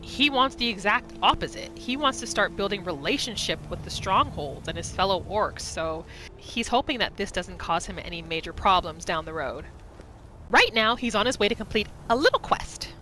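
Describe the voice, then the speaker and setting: A woman's voice: medium pitch, expressive, moderate pace, sharp tone, faint music behind. A woman hosting a gaming video, narrating her roleplay playthrough in a casual, chatty storytelling way. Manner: chatty, informal, storytelling in third person.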